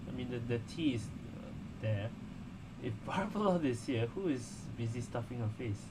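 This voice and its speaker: A man casually commenting as a gamer on a story playthrough, halting and thinking aloud. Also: mid-range voice, lively intonation, background noise. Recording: loud game music behind voice. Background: game music and dialogue.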